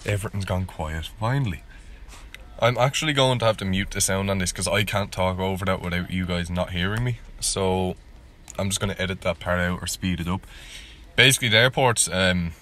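A man with a deep voice hosts a gameplay video. He talks casually and live, poking fun at his own skills and repeating himself when flustered. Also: fairly quick, sharp tone, slight background noise. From Ireland. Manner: self-deprecating, repeats words when flustered. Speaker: gaming video host.

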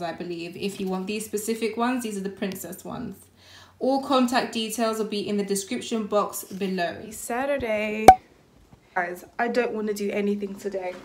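A woman's voice: medium pitch, slight background noise. A young woman vlogging at home, chatting casually to the camera in a rambling way that often hedges and corrects itself. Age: young adult. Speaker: lifestyle vlogger. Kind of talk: casual vlog chat to camera. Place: small rooms at home, bedroom and kitchen. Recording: roomy echo of a small room.

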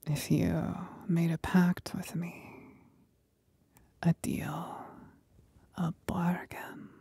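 Seductive demon for ASMR roleplay, played by a woman: husky breathy whisper, creaky low edge, manipulative and mocking. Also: little pitch movement, warm tone, dry recording, full character voice. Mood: tempting. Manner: slow, breathy whispering with deliberate pauses.

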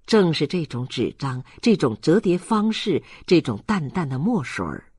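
A man reading a novel aloud as an audiobook narrator, in an expressive literary storytelling style. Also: on the high side, moderate pace, breathy edge, no echo, clean studio sound.

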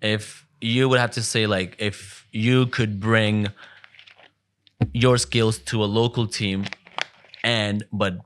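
A young man with a somewhat low voice hosts a podcast. He asks questions in a loose, casual way, chatting close to a studio microphone. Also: steady tone, slow pace, a bit bright, a little hiss or hum. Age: young adult. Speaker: podcast host. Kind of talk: casual interview chat. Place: small dim podcast studio indoors. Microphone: close studio mic on boom arm.

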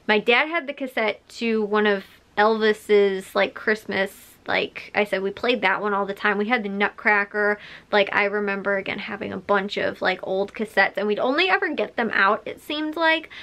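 A woman of about thirty talks to her camera in a medium-pitched voice. She rambles informally, leaning on filler words and letting small chuckles into her speech. It sounds like a casual vlog made in a small room at home. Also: bright, forceful tone. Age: late 20s to early 30s. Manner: rambling, frequent filler "like", chuckles while talking. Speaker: vlogger. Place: small bedroom or craft room, indoors.

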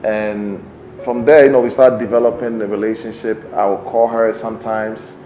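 A man in his thirties with a Ghanaian accent, a bishop telling his life story as an interview guest in a relaxed way with many fillers. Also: fairly low pitch, moderate pace, reverberant, a little hiss or hum, poor audio.

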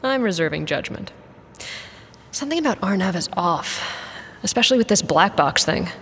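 A woman's voice: on the low side, sing-song, normal speed, a bit bright, slightly rough, in a large hall, exaggerated voice. A woman playing a tough, level-headed mercenary in an audio drama, blunt and dry but fair. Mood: stern, guarded.

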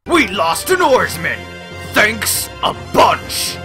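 A man's voice: sits high, very animated, slow, over music, heavily acted. A man voicing an exasperated, snapping young captain in a fan-made parody dub. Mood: annoyed.

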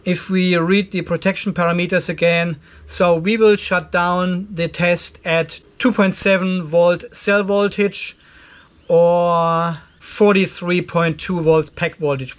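A man's voice: fairly high pitch, fairly even intonation, measured pace. A man in his fifties giving a casual hands-on tech demonstration, conversational and thinking aloud as he works. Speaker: host of an off-grid solar video channel. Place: indoor garage workshop.

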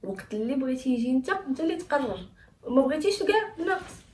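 A woman plays a hesitant young Moroccan woman, embarrassed to raise something with her brother. She speaks fast in a fairly high voice. The recording echoes, with the mic set back and faint noise. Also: lively intonation, darkish tone, recorded from a distance. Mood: shy.

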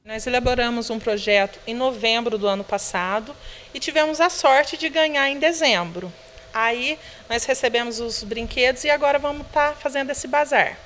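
A Brazilian woman in her thirties speaks earnestly into a handheld news mic. Her voice sits high, and the indoor room adds a long reverb tail. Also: moderate pace, fairly bright tone, a little hiss or hum. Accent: Brazilian Portuguese. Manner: earnest, appealing, uses filler "né". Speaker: charity director. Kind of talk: news interview. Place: indoor room of a children's home. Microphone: handheld reporter microphone.

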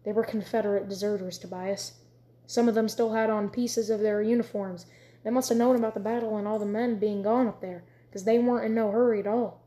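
A woman's voice: medium pitch, steady tone, brisk, dark tone, close to an everyday voice. A woman voicing a worn, frightened frontier wife who talks in plain, earnest country speech.

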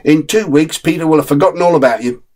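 A man's voice: fairly high pitch, fast, a little mellow, voice pushed up, natural voice. A man voicing a friendly, streetwise male terrier who gives blunt, knowing advice.

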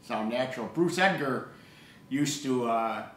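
An older man in casual hobby discussion as co-host, speaking in a halting, anecdotal way. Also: mid-range voice, lively intonation, a bit bright, slight background noise. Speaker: co-host of an audio hobby show. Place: living room table indoors. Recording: echoey room sound.

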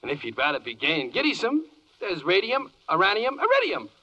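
A man's voice: sits high, huge pitch swings, pushed and bright, exaggerated voice. A man playing a zealous spokesman declaiming rhymed patter in a 1940s radio play. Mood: eager, declamatory. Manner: declamatory, rapid-fire patter. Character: zealous, rhyming salesman-like spokesman for minerals. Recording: muffled 1940s radio broadcast.

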